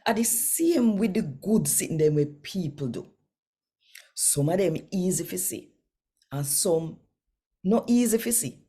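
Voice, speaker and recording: A Jamaican woman with a very low voice reads scripture in a devotional style. Her tone is dark and soft-edged with some huskiness, and she takes her time, speaking quite slowly. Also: expressive, husky. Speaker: host reading daily scripture. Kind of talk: scripture reading and devotional talk in Jamaican Patois.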